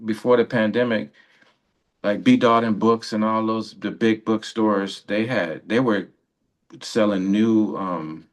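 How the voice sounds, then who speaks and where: A man's voice: on the low side, steady tone, measured pace. A man hosting a radio interview in casual, rambling chat, often breaking off and rephrasing his sentences. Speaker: radio show host.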